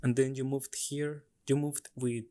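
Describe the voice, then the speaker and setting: Very low-voiced, slow woman interviewer. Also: flat delivery, dark tone. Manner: prompting, short questions and backchannels. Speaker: podcast host, interviewer. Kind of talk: casual interview conversation.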